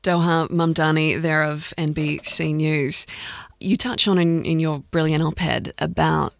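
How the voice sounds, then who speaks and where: A male radio host interviews a guest in a careful, measured way. His voice is deeply gravelly with lots of fry. The sound is dry and close. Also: fairly even intonation, no room sound.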